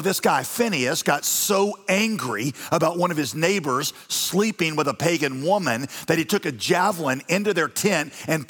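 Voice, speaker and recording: A man preaching with an animated, folksy delivery and touches of humor. His voice sits a little high for a man. It rings out through the long reverb of a large church auditorium. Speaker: pastor. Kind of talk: sermon.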